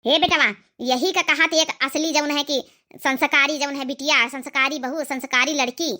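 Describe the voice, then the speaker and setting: Cartoon village mother , played by a woman: middle-aged, Awadhi accent, very high bright voice, approving and moralizing. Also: steady tone, normal speed. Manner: moralizing, repetitive. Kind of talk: cartoon family drama dialogue.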